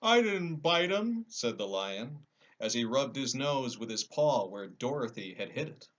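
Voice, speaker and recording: A man's voice: medium pitch, measured pace, lo-fi sound. A man narrating a children's storybook as a storyteller.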